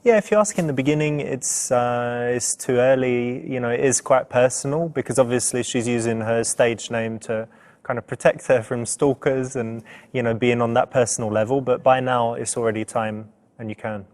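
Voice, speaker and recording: A man playing a smooth, attentive dater and coach, talking in a conversational, reassuring way. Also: mid-range voice, fairly even intonation, moderate pace, darkish tone, natural voice. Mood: explanatory. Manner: conversational, reassuring, uses "you know" often. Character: smooth, attentive man chatting up a woman on a date. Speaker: seduction coach and dating game presenter. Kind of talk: scripted date dialogue and coaching commentary.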